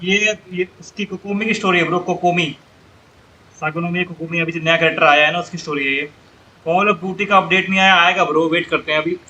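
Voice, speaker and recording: A man's voice: high-pitched, fairly even intonation, racing, bright, forceful tone, rough recording. A man with an Indian accent, chatting casually as he commentates on a live game stream. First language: Hindi. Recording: laptop stream with background noise. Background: game audio.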